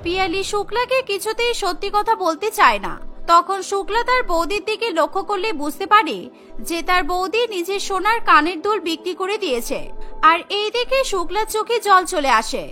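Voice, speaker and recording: A woman narrates a cartoon tale with a very high, bright voice. Her delivery is scripted and dramatic, and music plays softly behind her. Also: lively intonation, brisk.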